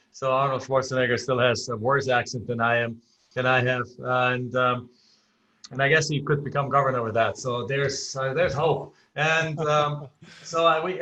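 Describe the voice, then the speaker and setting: A man's voice: medium pitch, pushed and bright, dull audio. A man in his forties from Germany with a German accent, talking as a podcast guest with frequent restarts. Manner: talkative, frequent restarts and fillers. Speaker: guest investor. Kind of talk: casual podcast interview.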